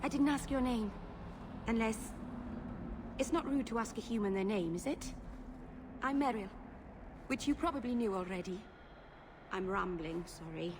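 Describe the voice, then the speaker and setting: Woman acting as young elf apprentice, fantasy game, higher-pitched, nervous, sweet, apologetic, noisy ambient background. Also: animated, close to an everyday voice. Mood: flustered. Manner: nervous, halting, rambling.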